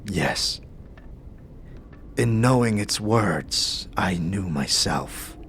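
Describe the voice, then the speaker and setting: A man playing a somber, weary, honor-bound otherworldly warrior-monk in a fantasy audio drama, in a hushed, dry, halting voice. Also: sits low, animated, a little hiss or hum, full character voice. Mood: solemn, reverent.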